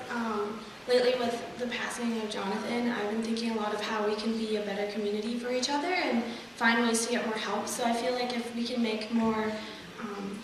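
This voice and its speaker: A young woman from Russia whose first language is Russian, giving an earnest campaign speech as a student candidate, laughing nervously now and then. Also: on the high side. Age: young adult. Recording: echoey hall, distant mic, noisy.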